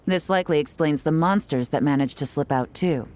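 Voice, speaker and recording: A woman playing a cool, shrewd young intelligence agent in a video game, speaking in a measured, knowing, composed way. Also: low-pitched, a little mellow, lightly acted. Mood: composed briefing. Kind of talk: video game voice acting, story exposition.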